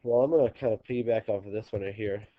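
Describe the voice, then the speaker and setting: Stuffy-nosed male teacher, lowish voice, halting explanations, dull home recording. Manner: halting, restarting explanations with many ums. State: congested, stuffy nose. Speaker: geometry teacher. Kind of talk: recorded classroom video lesson.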